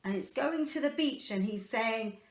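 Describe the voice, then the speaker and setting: A woman's voice: a little high, animated, normal speed, roomy sound. A woman reading a picture book aloud to children in a chatty way, prompting them with simple questions. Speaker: children's storyteller.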